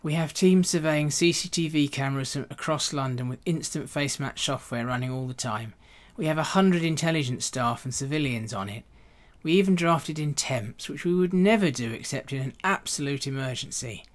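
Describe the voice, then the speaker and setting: A man's voice: a little high, moderate pace, few pauses, lightly acted. A man acting an assured, assertive senior intelligence chief in a radio drama. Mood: reassuring.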